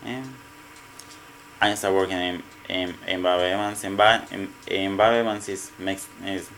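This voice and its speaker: A man with a Mexican Spanish accent, Spanish his first language, answering interview questions in halting English and often repeating words. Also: sits low, fairly even intonation, fairly bright tone, slight background noise. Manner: halting, repeats words, non-native grammar. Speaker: restaurant cook. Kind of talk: casual interview.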